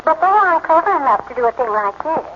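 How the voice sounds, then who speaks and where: A woman's voice: sits high, sing-song, quick pace. A fluttery genteel woman of seventy. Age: 70s. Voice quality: fussy, flustered refrains. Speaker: elderly society lady asking for a theft. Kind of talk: 1940s radio acting. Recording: dull, lightly hissy old radio broadcast.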